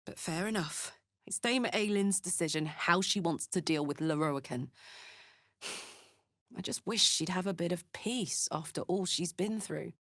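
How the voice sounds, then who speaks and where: A woman's voice: on the low side, unhurried, fairly bright tone, dry recording. Casting: a female game warrior, brash yet big-hearted, plain-spoken and earnest, taking her time, recorded close and dry. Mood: subdued, wistful. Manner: earnest, blunt, slangy and informal. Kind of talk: video game voice acting.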